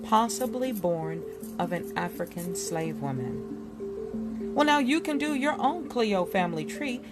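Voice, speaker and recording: A woman giving an expressive, deliberate reading of an illustrated book as a narrator, with dramatic delivery. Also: mid-range voice. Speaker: reader presenting a book chapter. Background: music.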